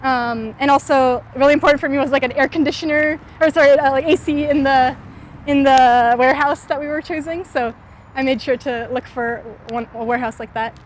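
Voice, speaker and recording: A young woman from California answers interview questions while walking outdoors. Her voice sits high, and her speech is loose and relaxed, full of "like" and "um." Faint city noise hums under her. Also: brisk. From California, USA. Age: 20s. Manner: frequent "like" and "um" fillers, thoughtful. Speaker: startup founder interviewee.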